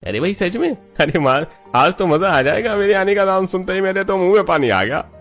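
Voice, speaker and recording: Lively expressive cartoon man. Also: sits high, brisk, soft background music. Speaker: voice actor voicing several men. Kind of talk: cartoon dialogue.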